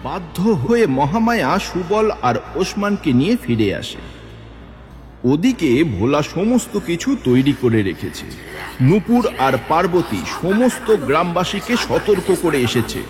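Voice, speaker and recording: A man narrating a horror audio story in a slow, dramatic manner. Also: on the high side, very animated, a little mellow. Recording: long reverb with faint music.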